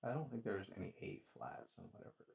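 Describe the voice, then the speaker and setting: A man's voice: low-pitched, big pitch swings, fast talker, gravelly, darkish tone, husky. A man working out a song in his studio, talking casually and thinking aloud with a self-deprecating, rambling manner. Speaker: songwriter and producer.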